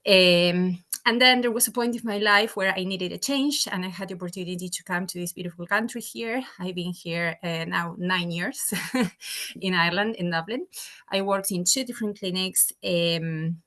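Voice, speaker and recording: A middle-aged woman speaks English with an Argentine Spanish accent, as a native Spanish speaker. Her voice sits a little low as she talks informally as a guest doctor on a webinar. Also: normal speed, pushed and bright. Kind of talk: informal self-introduction on a webinar.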